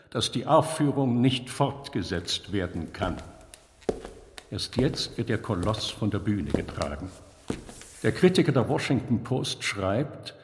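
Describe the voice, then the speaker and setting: A man reading a scripted literary story with deliberate, dramatic phrasing and pauses. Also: medium pitch. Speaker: narrator. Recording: long hall reverb.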